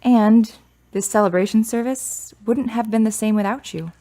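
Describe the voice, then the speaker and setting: A woman's voice: on the high side, normal speed, mellow, close to an everyday voice. A woman playing a candid, reflective, grieving daughter of about thirty in a radio drama. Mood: earnest, reflective.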